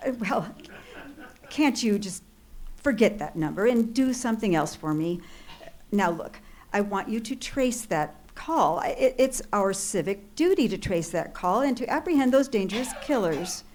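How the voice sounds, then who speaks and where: An actress as a fretful, highly strung woman caller. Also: expressive, normal speed, darkish tone, slight background noise, close to an everyday voice. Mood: urgent, pleading. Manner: agitated, insistent, theatrical, stammering with alarm. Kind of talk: old-time radio thriller acting on stage.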